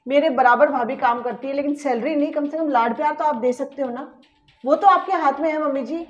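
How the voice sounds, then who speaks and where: A woman's voice: sits high, a little fast, reverberant, natural voice. A woman playing an earnest young working daughter-in-law who lectures her mother-in-law about fairness in a family skit. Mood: lecturing. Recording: dull lo-fi recording.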